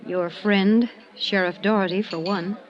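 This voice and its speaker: An American actress playing an uneasy, earnest small-town schoolteacher in a 1950s radio drama, speaking haltingly and with grave sincerity. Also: female voice, mid-range voice, steady tone, close to an everyday voice. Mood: nervous. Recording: dull lo-fi vintage radio recording.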